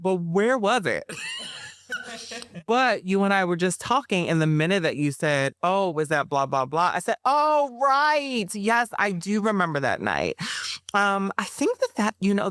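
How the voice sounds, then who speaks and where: Woman guest artist, measured and thoughtful, slangy asides, dry close sound, mid pitch. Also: lively intonation, no echo. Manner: slow, measured, pausing to find words. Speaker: guest performer and poet. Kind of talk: reflective interview talk.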